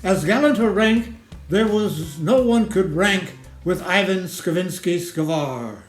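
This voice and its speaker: A man giving a theatrical recitation of a comic rhyming ballad in a measured, sing-song rhythm. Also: sits high, animated. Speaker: poetry reader. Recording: roomy echo, music underneath. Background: music.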